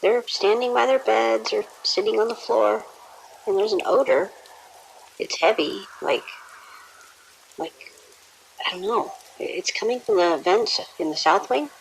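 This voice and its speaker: An actress playing a dutiful, uneasy nurse in an audio drama, reporting politely and hesitantly. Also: female voice, a little high, measured pace, creaky, long pauses, breathy edge, full character voice, poor audio. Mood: unsettled.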